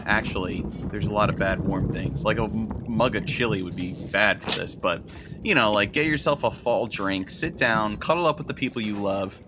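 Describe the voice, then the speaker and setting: A man's voice: on the low side, very noisy. A man chatting casually as a podcast guest, with a playful, joking manner. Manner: playful, jokey. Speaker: recurring podcast guest, toy designer.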